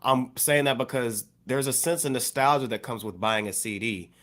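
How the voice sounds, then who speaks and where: A man with an American accent co-hosting a hip hop podcast, explaining points in a measured way with plenty of "you know". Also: medium pitch, fairly bright tone. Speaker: podcast co-host.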